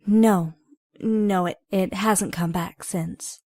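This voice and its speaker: Woman as a slow-speaking, hesitant teenage girl. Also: mid-range voice, steady tone, darkish tone, dry recording. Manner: hesitant, questioning. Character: reserved teenage girl, new student. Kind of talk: audio drama acting.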